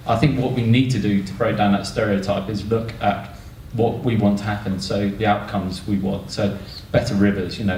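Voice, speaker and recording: A man's voice: lowish voice, darkish tone. Man, British accent, informal panel talk, reverberant room, faint noise. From United Kingdom. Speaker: panel speaker from an environmental agency. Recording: echoey room, distant mic, faint noise.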